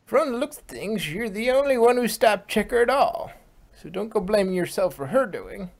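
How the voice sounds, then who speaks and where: A woman's voice: medium pitch, expressive, moderate pace, close to an everyday voice. A woman voicing a firm, loving old grandmother pony, earnest and reassuring, in an amateur fan fiction reading.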